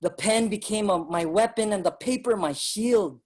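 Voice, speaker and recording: An actor playing an earnest Filipino migrant writer and activist, narrating dramatically in a staged reading. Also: male voice, high-pitched, normal speed. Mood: reflective, grave.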